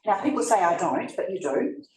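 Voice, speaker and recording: A woman's voice: medium pitch, a little fast. Woman, New Zealand accent, chatty presenter, roomy echo, heard from a distance. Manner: conversational, lively, with asides to the audience. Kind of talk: public talk to a live audience. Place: event room.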